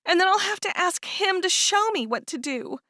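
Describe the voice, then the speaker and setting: Woman reading as a teen farm girl, sulky and teary, voice jumps high and all over, dry sound. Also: animated, normal speed, bright, forceful tone, no echo, exaggerated voice. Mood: upset. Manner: sulky, tearful, indignant. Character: upset, stubborn teenage farm girl.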